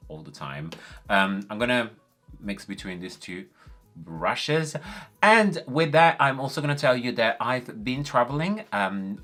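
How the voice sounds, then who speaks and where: A man's voice: fairly low pitch, fairly bright tone. A man from Italy, speaking English as a second language with an Italian accent, chatting casually with lots of ums and likes while doing his makeup. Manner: chatty, many fillers like um and like. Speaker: beauty vlogger.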